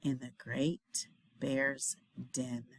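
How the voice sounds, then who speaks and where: Slow, expressive woman reading a picture book. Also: extremely low pitch, breathy edge, no room sound. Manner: slow, dramatic delivery with hushed refrains. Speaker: storybook reader, teacher. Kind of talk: expressive children's picture book read-aloud.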